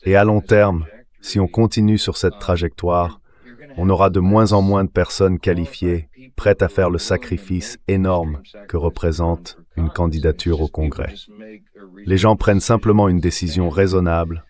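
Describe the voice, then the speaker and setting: Really low ai dub guy, politician explaining himself in a measured way. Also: fairly even intonation, darkish tone. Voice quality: synthetic AI dubbing voice.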